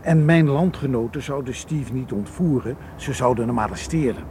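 A man's voice: medium pitch, mellow, lightly acted. Man acting a concerned, level-headed tour guide, noisy recording. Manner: measured, careful, reassuring. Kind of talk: radio drama acting. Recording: noisy old radio play recording.

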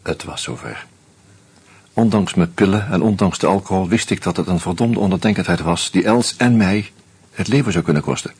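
A man's voice: deep voice, normal speed, a little mellow, slightly rough. An actor playing Hans, a bitter, drunk man who narrates and sardonically confronts a murderer in a radio play. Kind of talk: first-person radio drama narration.

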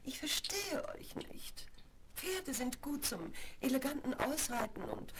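A woman's voice: high voice, big pitch swings, a little slow. An actress playing a prim, proper middle-aged upper-class mother in a seventies radio play on vinyl. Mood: disapproving. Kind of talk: 1970s German radio play acting. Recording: crackly 1970s vinyl record.

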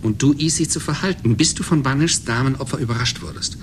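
An actor playing a sober, brooding chess player in a German radio play, measured, serious and explanatory. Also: male voice, mid-range voice, noisy background, lightly acted. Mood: absorbed, grim.

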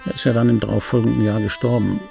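An actor playing a bitter middle-aged dubbing actor, telling his life in a wry, gravelly, husky voice in for a radio play. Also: lowish voice, little pitch movement, very fast, over music. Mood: wry, reminiscing. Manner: wry, conversational, self-interrupting. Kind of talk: radio play monologue, intimate storytelling.

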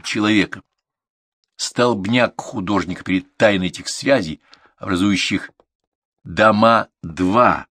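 Very deep-voiced man giving a measured lecture. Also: a bit bright, dry recording, clean studio sound. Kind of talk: slow essay-like lecture reading.

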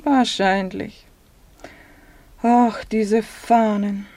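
An actress playing a weary, melancholy woman worn down by war in a radio drama, hushed and listless. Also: mid-range voice, fairly even intonation, very slow.

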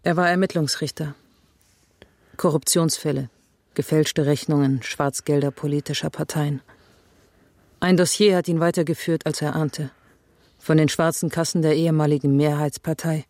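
A woman's voice: low-pitched, steady tone, normal speed, a little mellow, dry recording. Woman investigator in radio drama: serious, controlled, matter-of-fact storytelling, close dry studio. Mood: grave, controlled. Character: serious, determined woman investigator recounting her father's fate.

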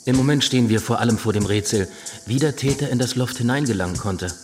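A man's voice: medium pitch, quick pace, a little mellow, natural voice. A man playing a methodical, polite police investigator in a radio crime drama, formal and inquiring. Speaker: actor. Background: music.

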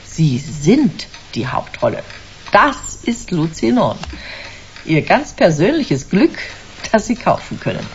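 A woman's voice: lowish voice, very animated, takes it slowly, darkish tone, exaggerated voice. An actress playing a smug, commanding company director in a German sci-fi radio drama, suave and theatrical, savouring her words. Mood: seductive sales pitch. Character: smug, commanding female corporate director selling a drug. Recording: dull, hissy radio play recording.

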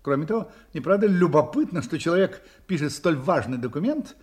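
An actor playing a confident, incisive private detective in an audio drama, reasoning aloud with assurance. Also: male voice, on the high side, lively intonation, a little fast, a little mellow, husky. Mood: assured, reasoning. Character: confident, sharp, probing male private detective. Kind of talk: Russian audio drama acting.

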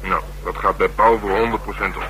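Very deep, gruff Dutch detective, played by a man. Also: fairly even intonation, a little fast, close to an everyday voice. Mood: matter-of-fact. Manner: blunt, colloquial, dryly teasing. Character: gruff, streetwise middle-aged male police detective, sardonic. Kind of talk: naturalistic radio drama dialogue. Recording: humming, noisy old radio broadcast.

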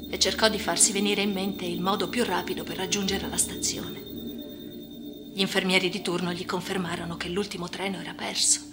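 An actress playing a troubled, defiant young woman in a radio monologue, recounting her life with an intense delivery that moves between hushed and agitated. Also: fairly high pitch, close to an everyday voice. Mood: agitated, angry, then tense, brooding. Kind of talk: dramatic first-person radio monologue. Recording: music under the voice. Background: music.

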